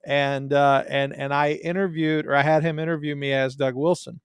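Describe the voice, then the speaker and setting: A man's voice: on the high side, flat delivery, normal speed, clear, resonant tone, no room sound. A man with a Southern US accent, a guest in a casual chat, telling stories in a joking, self-deprecating way.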